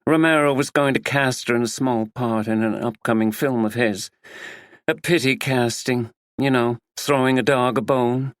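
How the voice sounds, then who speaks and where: A vain, perky actress with an American drawl, all breezy dismissal, as a male narrator puts her on. Her voice sits well above his own and swings about lively. The sound is close and dry. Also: on the high side, normal speed, no echo, pitched above the performer's own voice. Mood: dismissive.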